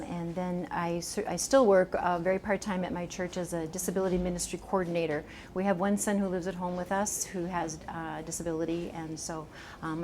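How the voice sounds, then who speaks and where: A soft-spoken woman in her sixties giving a gentle, chatty library talk as an author, often laughing a little. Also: on the low side, slight background noise. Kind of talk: informal public talk. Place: library meeting room.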